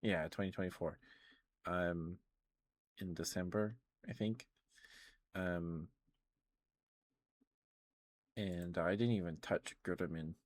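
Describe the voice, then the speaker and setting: A man's voice: deep voice, fairly even intonation, slow pace, pauses often, no echo. A man chatting casually and hesitantly on an ASMR game livestream, musing and rambling as he goes. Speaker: live streamer, video game streamer.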